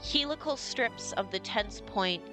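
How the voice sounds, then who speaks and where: A woman's voice: mid-range voice, expressive, unhurried. Woman narrating as game master. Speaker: dungeon master. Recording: background music.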